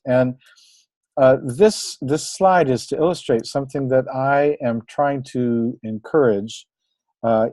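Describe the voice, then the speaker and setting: A man's voice: medium pitch, dark tone, no room sound. A middle-aged man, a language teacher, giving an encouraging, conversational webinar presentation with the occasional filler. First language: English.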